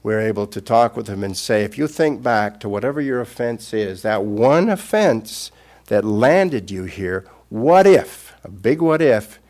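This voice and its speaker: An older man, a Buddhist teacher, giving an unscripted Dharma talk in a gentle, reflective way with pauses. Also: on the low side, expressive.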